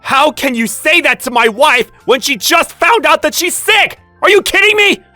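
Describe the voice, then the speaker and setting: A woman voicing a loving, protective husband who speaks softly and turns angry at his mother. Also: sits high, expressive, normal speed, no room sound, faint music behind, voice pushed up. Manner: gentle and soothing, furious when defending.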